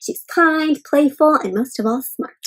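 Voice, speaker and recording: A woman reading a children's storybook aloud interactively, expressive and encouraging like a teacher, often turning to ask her young listeners questions. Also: high voice, a little slow. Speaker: children's read-aloud host.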